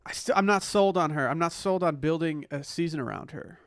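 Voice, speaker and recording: A man's voice: on the high side, a little fast, no room sound, studio quality. A young man co-hosting a podcast, giving casual, opinionated banter and swearing freely. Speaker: podcast co-host. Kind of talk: casual banter, reality TV recap.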